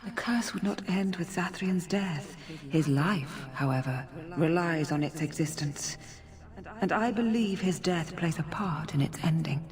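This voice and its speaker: Casting: actress as female forest spirit, fantasy game, solemn and compassionate, measured formal speech, cavernous reverb. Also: on the low side, a little mellow, lightly acted. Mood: grave. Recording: long echoing reverb.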